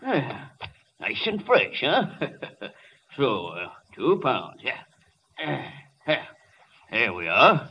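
An actor playing a nosy, talkative neighborhood butcher with a folksy, chuckling manner, in a 1940s radio drama. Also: male voice, medium pitch, huge pitch swings, extremely slow, long pauses, a little hiss or hum, exaggerated voice. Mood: folksy chatty. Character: chatty, nosy neighborhood butcher, middle-aged man. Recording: dull old radio broadcast.

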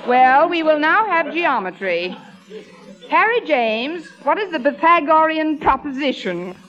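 A woman's voice: high voice, very animated, a little slow, crowd noise. A woman playing a stern, exasperated American schoolteacher in a radio comedy, bossy and scolding. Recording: dull old radio recording, audience, music.

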